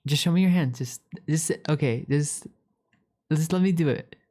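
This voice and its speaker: A woman plays a young catboy roommate in a casual ASMR roleplay, with a very deep voice and a soft, dark tone. He is playful and a little needy, rambling along, stammering and doubling back on his words. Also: normal speed. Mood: curious, chatty.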